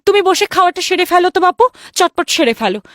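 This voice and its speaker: A woman's voice: very high-pitched, animated, fast, fairly bright tone, dry recording, studio quality. A woman playing a soothing, indulgent housewife and mother in a cartoon, coaxing and placating as she talks. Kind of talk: cartoon voice acting.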